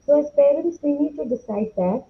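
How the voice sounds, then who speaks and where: A woman's voice: sits high, moderate pace, narrow, tinny audio. A woman speaking as an educator in a podcast discussion, reflective and earnest, over thin call audio. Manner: passionate, reflective. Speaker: educator, panelist.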